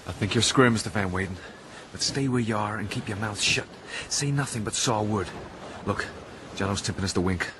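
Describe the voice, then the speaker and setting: An actor playing a defiant young sailor, terse and conspiratorial, in a radio drama. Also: male voice, fairly low pitch, fairly quick, close to an everyday voice. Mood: guarded, urgent. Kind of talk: broadcast radio drama acting. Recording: noisy ship ambience.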